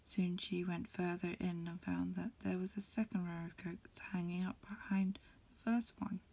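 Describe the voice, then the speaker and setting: A woman reading a storybook aloud as ASMR in a breathy, mostly whispered voice, a little hesitant, with small stumbles. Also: lowish voice, little pitch movement, normal speed, warm tone. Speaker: amateur reader. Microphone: close recording, lo-fi home setup.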